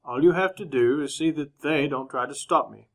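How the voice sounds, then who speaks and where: A man playing a composed, honorable gentleman newspaperman in a re-created old-time radio western, speaking formally and with measured politeness. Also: a little high, natural voice. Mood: quiet, composed. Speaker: actor narrating as lead character.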